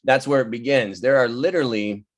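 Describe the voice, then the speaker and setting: A man's voice: medium pitch, fairly quick. A man speaking as a playwright in a casual, informal discussion over a video call. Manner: informal, laughs often. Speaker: playwright taking part in a table read. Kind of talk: casual discussion, explaining the work. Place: virtual table read over video call.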